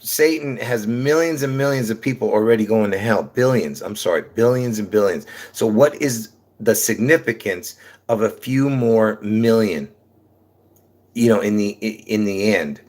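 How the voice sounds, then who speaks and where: A man's voice: on the low side, unhurried. A man with a New York accent hosting a livestream, talking to his viewers in a rambling monologue full of fillers, restarts and repeated points, calling them folks. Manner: repetitive, restarts often, many fillers, calls listeners folks. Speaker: livestream host.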